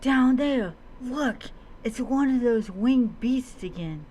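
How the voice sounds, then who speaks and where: A woman's voice: on the high side, lively intonation, slow, mellow, slight background noise. A woman doing in-character commentary as she plays a roleplay adventure game, musing dramatically.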